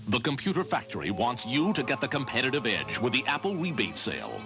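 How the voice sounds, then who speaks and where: Brisk salesy announcer man. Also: mid-range voice, huge pitch swings. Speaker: commercial announcer. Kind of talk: retail radio-style ad read. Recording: old ad audio with music.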